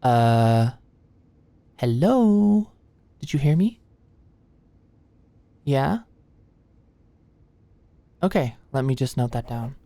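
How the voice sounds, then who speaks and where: Woman playing an anxious young med student, low-voiced. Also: lively intonation, extremely slow, pauses often, no room sound, full character voice. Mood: careful, nervous. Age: young adult. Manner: nervous, hesitant, stammering, softly attentive. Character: anxious, awkward young female medical student, easily flustered. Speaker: ASMR roleplay performer. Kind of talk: ASMR roleplay, intimate scripted one-sided dialogue. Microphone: close studio-style mic, dry.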